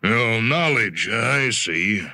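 A man's voice: on the low side, expressive, very slow, gravelly, bright, forceful tone, dry recording, clean studio sound, voice pushed up, full character voice. A man voicing a genial senior corporate executive in a story, hesitant, patronizing and reassuring. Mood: uneasy, genial.

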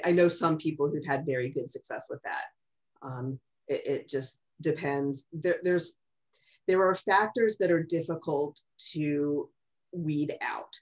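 A middle-aged woman with a strong voice explaining things in a measured way as a specialist on a webinar panel. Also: extremely low pitch, darkish tone. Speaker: speech-language specialist on a panel. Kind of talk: webinar question-and-answer. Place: small office, indoors. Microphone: video call. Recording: dull video-call audio.

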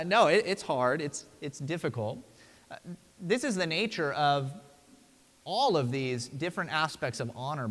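A man's voice: on the high side, a bit bright, in a large hall. A man in his thirties preaching a conversational sermon from a pulpit in a church sanctuary, often checking in with "right?". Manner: conversational, frequent tag "right?". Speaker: pastor.

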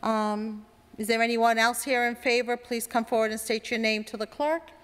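Woman chairing a meeting, brisk and procedural, reverberant chamber. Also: fairly high pitch, steady tone, clear, resonant tone. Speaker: council president. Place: council chamber.

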